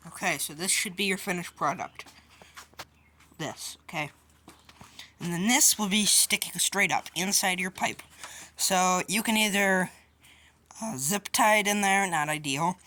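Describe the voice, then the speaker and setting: Lowish-voiced woman giving a casual tutorial. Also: fairly bright tone. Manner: informal, offhand, colloquial. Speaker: DIY video host. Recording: clear background noise with clicks.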